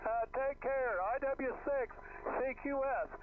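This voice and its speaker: A man's voice: very high voice, slow. A man working as an amateur radio operator, giving call signs and signal reports over a single-sideband radio link. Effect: single-sideband radio transmission. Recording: thin phone-like shortwave signal, faint static.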